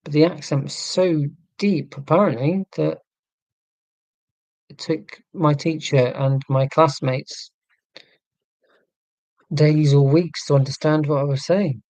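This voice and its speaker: A middle-aged man with a neutral southern English accent, talking slowly and deliberately in a casual, reflective monologue. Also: fairly high pitch, steady tone, darkish tone, dry recording. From Scotland, raised in England. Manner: slow, deliberate speech with pauses. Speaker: vlogger.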